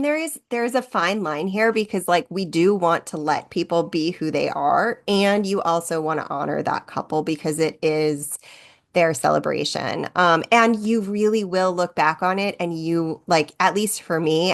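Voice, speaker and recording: A woman in her thirties chats on a podcast in a lowish voice. She rambles in a friendly, self-deprecating way, with plenty of "like" and "um" fillers. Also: gravelly, fairly bright tone. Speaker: podcast co-host and wedding photographer. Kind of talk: casual podcast chat.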